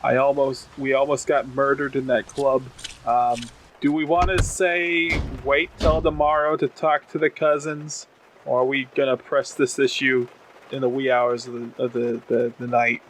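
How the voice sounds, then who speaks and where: A man playing a tabletop roleplaying game, talking casually and haltingly as he thinks aloud. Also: medium pitch, flat delivery, a bit bright, a little hiss or hum, low-quality recording. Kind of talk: casual in-character game talk.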